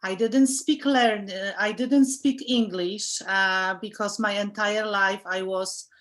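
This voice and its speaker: A woman in her fifties with a strong Polish accent, speaking English as a second language. She talks slowly and carefully at a middle pitch, picking her words as she goes over a video call. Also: fairly even intonation, fairly bright tone. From Poland. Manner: careful, halting non-native English. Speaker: alumni panelist. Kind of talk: video call panel introduction.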